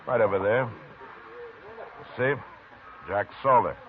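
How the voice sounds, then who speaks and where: Steady western lawman, very low voice, old hissing radio sound. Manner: calm, firm, unhurried authority. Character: steady, commanding frontier marshal. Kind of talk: 1950s radio drama acting. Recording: tinny hissy old radio broadcast.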